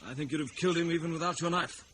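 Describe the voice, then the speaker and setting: An actor playing an earnest, polite, well-spoken young gentleman in an old-time radio jungle adventure. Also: on the high side, rapid-fire, lightly acted. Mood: grateful, earnest. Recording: noisy old radio serial recording.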